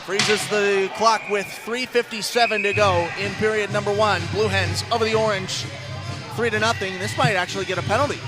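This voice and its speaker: A man's voice: high-pitched, steady tone, normal speed, music in the background. A man calling live ice hockey on the radio as play-by-play announcer, in flowing descriptive calls that build on big plays.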